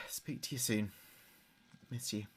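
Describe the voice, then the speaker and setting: A bereaved man recording a spoken diary for an audio drama. He has a mid-pitched, everyday male voice. His delivery is conversational and confiding, with small hesitations, as if speaking privately to a lost parent. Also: fairly even intonation, takes it slowly, a bit bright, slightly rough. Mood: wistful, troubled. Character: grieving adult son recording messages for dead father.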